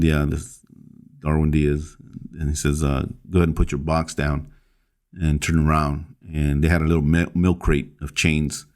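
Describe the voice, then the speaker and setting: A man with a very low, deep voice tells his own story as a podcast guest. He speaks haltingly, pausing on fillers and restarting phrases as he goes. He is a pastor, born in El Salvador. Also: darkish tone.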